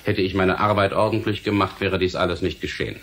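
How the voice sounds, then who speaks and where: An actor playing a stern, menacing government official in a German radio play, speaking in a formal, measured, authoritative way. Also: male voice, deep voice, fairly even intonation, a bit bright. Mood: formal, self-assured. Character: stern, menacing male government official guarding forbidden science. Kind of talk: German radio drama acting.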